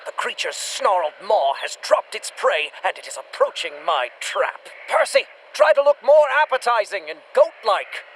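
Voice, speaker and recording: A man's voice: high voice, very animated, moderate pace, few pauses, fairly bright tone, a little hiss or hum, poor audio. An actor playing a pompous, swaggering adventurer who hides his cowardice, with a bombastic radio serial delivery. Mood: boastful. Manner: bombastic, grandiose, theatrical. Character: pompous swaggering male monster hunter, secretly cowardly.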